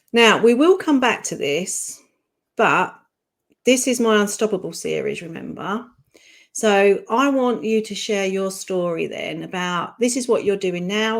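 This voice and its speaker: A woman's voice: mid-range voice, lively intonation, measured pace. Encouraging woman podcast host. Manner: warm, encouraging listener with frequent "mm". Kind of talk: casual interview chat.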